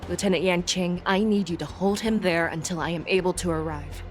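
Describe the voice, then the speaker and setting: A woman's voice: on the low side, steady tone, lightly acted. A woman playing a stern female general. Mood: steady. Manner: measured, instructive, rousing when addressing troops. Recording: studio game dub over loud music.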